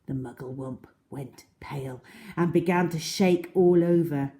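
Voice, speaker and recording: A woman reading a children's story aloud with dramatic, deliberate, expressive narration. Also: low-pitched.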